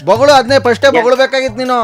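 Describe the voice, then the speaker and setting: A man's voice: very high-pitched, steady tone, very fast, over loud music. A man playing an irate, bullying customer in a prank phone call, hectoring and sarcastic. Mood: angry hectoring. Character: irate, bullying male customer demanding a hired vehicle. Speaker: radio prank-call host.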